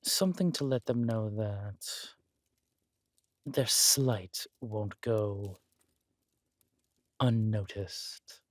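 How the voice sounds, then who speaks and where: A man's voice: lowish voice, very slow, pauses often, no room sound, clean studio sound. A man playing a villainous conqueror king in a romantic roleplay audio, smug and flirtatious, teasing and haughty as he draws out his words. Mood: scornful, stubborn.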